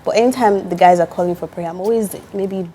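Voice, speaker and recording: A woman, a guest singer giving animated opinions in a casual panel chat. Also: mid-range voice, fast talker, slight background noise.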